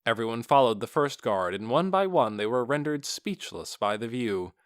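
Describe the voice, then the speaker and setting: A man's voice: medium pitch, animated, normal speed, dry recording, studio quality. A man reading scripted fantasy fiction as an audiobook narrator.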